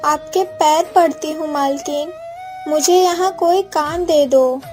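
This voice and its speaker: A woman plays a wronged orphan girl from a village cartoon, high in pitch and unhurried. She speaks with earnest drama and a proud heart, with music playing underneath. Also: fairly even intonation. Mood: tearful pleading. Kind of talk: Hindi moral-story cartoon dubbing.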